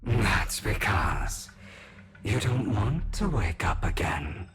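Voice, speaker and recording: Very slow, sinister whispery dark spirit. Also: male voice, lowish voice, big pitch swings, soft background music, exaggerated voice. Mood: sinister, taunting. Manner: whispery, insinuating, drawn-out. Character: sinister inner self, a tempting dark spirit. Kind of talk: dramatic video game voice acting.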